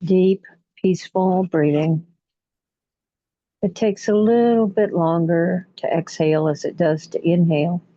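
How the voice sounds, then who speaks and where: A woman with a low, mellow voice leads a guided imagery session. She speaks very slowly and soothingly in short phrases, with long pauses between them. The recording is dull and somewhat muffled. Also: fairly even intonation, dry recording. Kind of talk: guided relaxation meditation.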